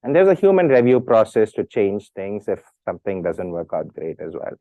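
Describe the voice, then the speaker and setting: Man, halting speech, muffled audio. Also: on the low side, big pitch swings, dry recording. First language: Tamil. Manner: hesitant, many fillers and restarts. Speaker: speaker at an institute talk. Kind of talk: talk with audience questions.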